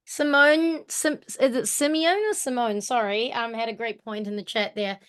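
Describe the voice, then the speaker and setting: A woman's voice: fairly high pitch, steady tone, normal speed, smooth. A woman with a New Zealand accent talking informally in an online workshop, thinking aloud as she co-presents. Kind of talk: casual reflective discussion in an online workshop. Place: online video call.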